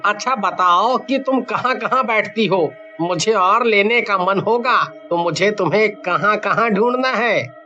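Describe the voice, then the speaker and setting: An actor voicing a male passer-by with deliberate, exaggerated delivery in an animated story. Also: high voice, lo-fi sound. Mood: curious, friendly. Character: curious male passer-by, easily indignant. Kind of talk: Hindi animated story dialogue. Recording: music under the voice.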